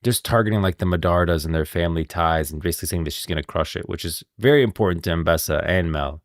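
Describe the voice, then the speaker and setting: A man's voice: low-pitched, quick pace, dry recording. A man giving casual commentary on video game lore as a streamer, recorded close and dry.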